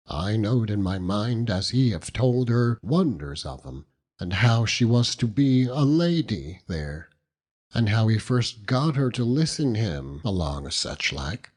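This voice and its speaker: A man acts out a humble old fisherman from a classic storybook. The voice is low and a little husky, earnest and gentle in plain dialect. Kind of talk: audiobook character reading.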